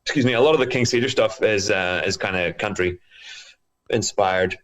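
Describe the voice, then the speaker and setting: A Northern Irish man with a deep-pitched voice speaks as a guest in a casual interview. He talks thoughtfully and rambles a little as he works through his answers. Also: normal speed. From Northern Ireland. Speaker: guest, singer-songwriter.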